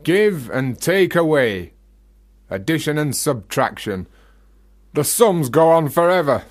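An actor playing a bitter, obsessive gravedigger in a radio play, brooding and rhetorical, almost incantatory. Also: male voice, on the high side, big pitch swings, slow, long pauses, a bit bright, exaggerated voice. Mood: scornful. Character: bitter, obsessive philosophical gravedigger, a working man. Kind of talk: radio play monologue acting.

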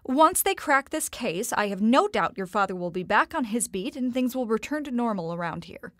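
A woman playing a devoted, gently worried mother in a nostalgic period audio drama, speaking in a genteel, tender and proper way. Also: a little high, very animated, normal speed, no echo, clean studio sound.

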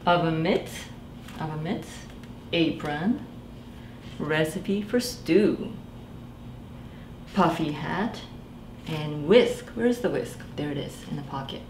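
A woman in her forties, a children's librarian, reading a picture book aloud in a gentle sing-song way and asking the children to guess. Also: low-pitched, animated, takes it slowly, pauses often, reverberant, slight background noise. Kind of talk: storytime read-aloud for young children. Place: small library room indoors.

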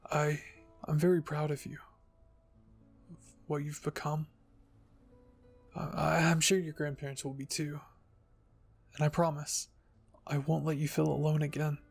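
A man plays a protective, haunted teenage boy soldier in an anime fan story. He speaks sincerely and haltingly, with a gravelly edge and little swing in pitch. Music sits softly behind him. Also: level tone, full character voice. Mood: tender pride.

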